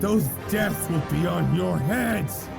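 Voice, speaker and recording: An evil armored warrior for an audio drama. He is gravelly and taunting, with a high, wildly swinging voice. He takes his time over each commanding threat, and loud music plays behind him. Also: animated, slow, exaggerated voice. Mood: cold threat. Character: menacing armored evil warrior, young male villain, shouting threats. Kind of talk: audio drama villain acting.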